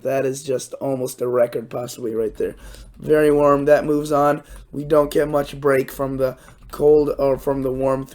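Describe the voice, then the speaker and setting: A young man hosting a weather channel, hoarse and raspy from a cold, talking casually through his forecast with lots of fillers and restarts. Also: medium pitch, a little mellow. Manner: rambling, many fillers and restarts.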